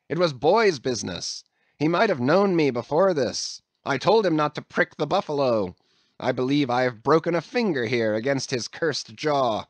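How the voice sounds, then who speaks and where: A man's voice: medium pitch, dry recording. A man voicing a blunt, defiant sailor who leads a group of mutineers. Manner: blunt, pleading and threatening by turns.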